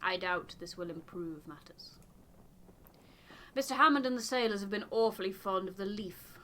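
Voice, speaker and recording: Woman voicing a stiff, bright-voiced aloof male scientist. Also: mid-range voice, moderate pace, close to an everyday voice. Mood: grave, formal. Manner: formal, clipped, precise.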